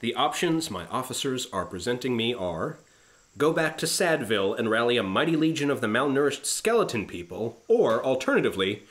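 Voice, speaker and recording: A man's voice: mid-range voice, big pitch swings, fairly quick, a bit bright. A man playing a pompous, sarcastic starship captain in a comic science fiction radio play, blustering and dismissive. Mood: scornful.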